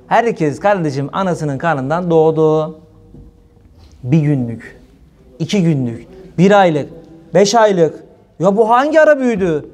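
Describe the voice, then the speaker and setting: A man gives an informal religious sermon in a colloquial, animated way, asking rhetorical questions. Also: on the high side. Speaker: preacher. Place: small room indoors.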